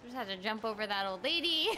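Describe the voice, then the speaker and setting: A woman's voice: a little high, animated, brisk, noisy background. A young woman chatting casually on a game livestream, giggly and flustered as she reacts aloud. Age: young adult. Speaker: streamer, voice actress. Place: indoor room, streaming setup. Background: game music.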